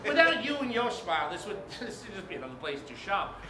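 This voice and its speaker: A man's voice: sits high, sing-song, fast talker, a crowd in the background. An actor playing an earnest, gushing, insistent male customer in a 1940s radio drama. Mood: effusive. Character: earnest, effusive male department store customer. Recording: roomy stage sound, clear background noise.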